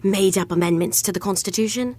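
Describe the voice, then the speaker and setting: A woman's voice: mid-range voice, brisk, a little mellow, natural voice. An actress playing a studious, insistent young woman in a romantic comedy audio drama, wry and a little flustered in her confidence. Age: young adult. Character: brisk, bossy, studious young woman scheming fake engagement.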